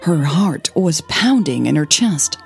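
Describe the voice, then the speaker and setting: Slow, low-voiced woman narrating fiction. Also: big pitch swings, vocal fry, soft background music. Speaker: narrator and host of a fiction podcast. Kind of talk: scripted audio fiction narration.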